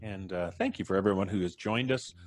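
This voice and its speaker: A man's voice: low-pitched, a little fast. A man in his fifties casually hosting a live stream over a video call, close to a desk microphone. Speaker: host. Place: small room, video call.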